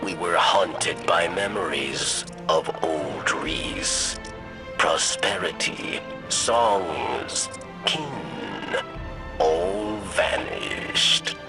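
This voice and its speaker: An actor voicing a weary, mournful old male alien scribe in a video game, speaking in halting phrases with a gravelly rasp. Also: low voice, sing-song, loud music behind the voice, heavily acted. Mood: mournful, reflective. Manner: halting, fragmented phrases, third-person self-reference. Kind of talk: video game dramatic monologue.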